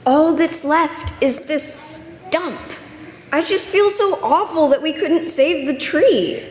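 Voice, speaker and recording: A sincere, practical village kid, acted by a woman in a stage reading. The voice is high and unhurried, with strong echo from the hall. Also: lively intonation. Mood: sorry. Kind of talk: amateur readers theater. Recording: echoey hall, faint noise.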